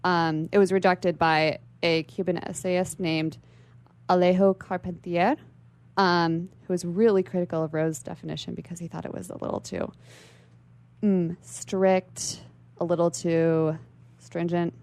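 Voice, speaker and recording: A woman's voice: lowish voice, little pitch movement, measured pace, darkish tone, clean tone. A woman speaking as an interview guest in a literary radio discussion, articulate and bookish.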